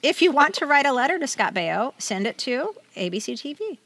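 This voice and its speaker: Middle-aged woman, American accent, podcast co-host, highish animated voice, chatty, wry, casual reading and commentary. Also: fairly quick, dry recording. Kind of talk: casual chat with read-aloud magazine excerpts.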